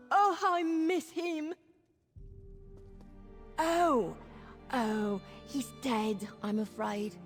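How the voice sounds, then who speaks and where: An actress playing a drunken female squatter in a fantasy video game, rambling, stumbling over words and lying badly. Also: sits high. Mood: mock grief. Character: tipsy, rambling female squatter, chatty shameless bad liar. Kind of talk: video game character dialogue.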